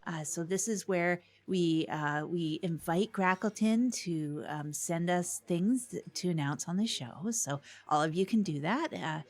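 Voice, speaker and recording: A woman playing a chatty, upbeat community radio co-host, speaking in halting, improvised-sounding comedy banter. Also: lowish voice. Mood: cheerful announcing.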